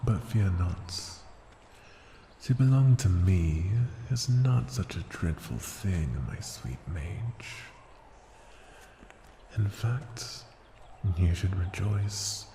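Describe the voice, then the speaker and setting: An ancient dragon played by a man murmurs in a low, gravelly, breathy voice, often sinking to a whisper. He is seductive and possessive, addressing a mortal with condescending tenderness in an intimate fantasy roleplay. The sound is roomy, with echo around the words. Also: lively intonation, dark tone, exaggerated voice. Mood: reassuring, possessive. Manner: slow, deliberate, teasing endearments. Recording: roomy echo, noisy ambience.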